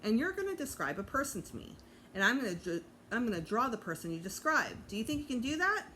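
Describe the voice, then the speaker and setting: A woman's voice: fairly high pitch, very animated, quick pace, sharp tone, slight background noise, pitched above the performer's own voice, low-quality recording. A woman voicing a friendly, encouraging gray-bearded male author who plays showman in a children's storybook.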